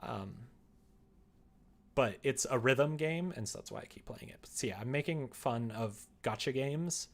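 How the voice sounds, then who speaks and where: A man with a mid-pitched voice talks casually on a livestream. He explains things in a loose, rambling way, half to viewers and half to himself as he works. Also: animated, normal speed, vocal fry. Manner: rambling explanations, muttering and humming to himself while working. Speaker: streamer developing a visual novel. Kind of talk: casual livestream chat while working.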